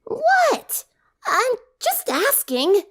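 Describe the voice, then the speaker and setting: Woman as cocky tomboy teen for story audio, blunt, teasing, raised pitch. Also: wildly swooping pitch, very slow, a bit bright, heavily acted. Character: brash, blunt teenage tomboy girl.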